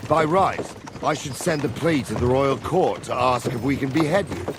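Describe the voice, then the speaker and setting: An actor playing a stern, scornful medieval captain in a video game, speaking bluntly and sarcastically. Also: male voice, medium pitch, moderate pace, noisy background, natural voice. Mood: coldly menacing. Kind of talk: video game drama acting. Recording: loud outdoor cart and hoof ambience.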